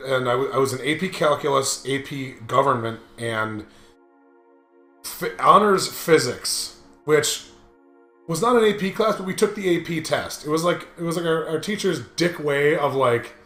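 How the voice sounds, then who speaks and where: A man's voice: on the high side, normal speed, a bit bright. Young American male host, informal and joking, loose anecdotal chat, recorded in an echoey room. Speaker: host of a gaming video series. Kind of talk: casual chat, rambling anecdote. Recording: roomy echo, music underneath.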